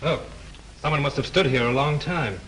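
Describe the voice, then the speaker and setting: A sharp young sleuth, played by a man, points out clues in a brisk, leading way. His voice rings bright and forward. Faint hiss hangs behind it, as on an old 1930s film soundtrack. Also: on the high side, natural voice, poor audio. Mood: curious.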